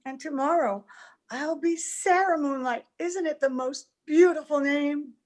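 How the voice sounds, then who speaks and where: Woman playing high-voiced romantic young bride. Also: measured pace, darkish tone. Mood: elated, dreamy. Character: romantic, breathlessly happy young bride, naive and anxious. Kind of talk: old-time radio drama acting.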